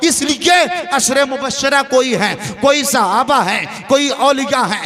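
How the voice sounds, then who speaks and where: High-pitched, forceful man preaching. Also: slow. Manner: exhorting, emphatic, rhetorical repetition and questions. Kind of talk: impassioned religious sermon.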